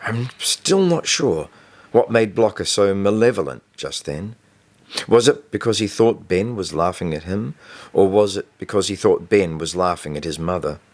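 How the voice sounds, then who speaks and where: A man's voice: low-pitched, lively intonation, normal speed, darkish tone. A man reading a first-person story as a scripted audiobook narration. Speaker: author reading own novel.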